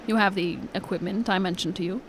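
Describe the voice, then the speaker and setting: A woman acting a shrewd male detective in an amateur audio drama, brisk and genteel with old-fashioned phrasing. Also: medium pitch, fairly even intonation, lightly acted. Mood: genteel, persuasive. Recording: clearly noisy recording.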